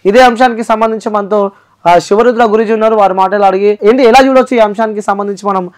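A man's voice: sits high, steady tone, fast, clear, resonant tone. A man with a Telangana accent hosting an interview, explaining emphatically and repetitively with English words mixed in. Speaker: interview host.

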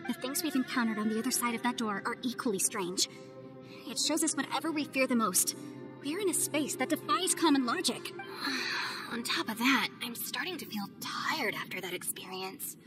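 A young adviser reasons things through in a measured, methodical way, played by a woman. Her voice sits a little high, and clear game music plays beneath her. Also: close to an everyday voice. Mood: thoughtful. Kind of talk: game cutscene voice acting.

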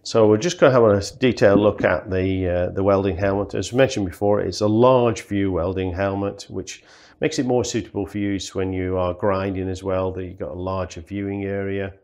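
A man's voice: deep voice, steady tone, moderate pace, darkish tone. A man demonstrating welding safety equipment in a studio, explaining plainly with many ums and uhs. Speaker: product demonstrator. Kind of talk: product demonstration. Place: studio with green table, indoors.

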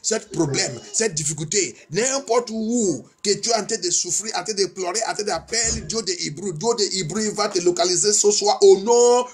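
A man's voice: high-pitched, moderate pace, few pauses, soft background music. A male pastor preaching and praying in two languages, declamatory, insistent and repetitive. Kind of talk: bilingual sermon and fervent prayer.

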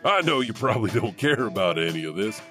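Guy as a weird awkward janitor horror host, deep voice, faint music behind. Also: big pitch swings, a bit bright. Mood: chatty. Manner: halting, rambling, chuckling asides. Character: eccentric, awkward, creepy male janitor storyteller. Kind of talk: comic horror anthology hosting.

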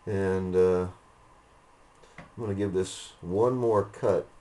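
A man giving a casual, unhurried hands-on demonstration, explaining each step as he works. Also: low voice, steady tone. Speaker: hobbyist demonstrator, host. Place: indoor hobby room. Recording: noisy room, machine noise.

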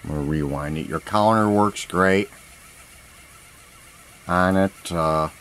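Man, very low voice, slow delivery, halting short phrases, casual hands-on demo, handheld camera indoors. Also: a bit bright, slight background noise. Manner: plain-spoken, halting, pausing between short phrases. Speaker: seller demonstrating vintage audio gear for an online listing. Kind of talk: casual unscripted product demonstration. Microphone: handheld camera, speaker behind it.

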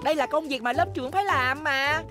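A woman voicing a vain, easily flattered young schoolboy in a children's cartoon dub. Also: high-pitched, fast talker, natural voice. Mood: proud, obliging. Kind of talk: animated children's cartoon dubbing. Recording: loud music behind the voice.

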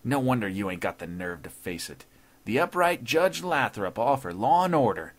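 A man reading a story puts on a sneering runaway convict. He talks rough and slangy, vengeful and short on patience, and often rises loud and forceful above a low everyday register. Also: moderate pace. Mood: gloating, jeering. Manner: rough slangy tough talk. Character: gruff sneering escaped male convict, vengeful and impatient.

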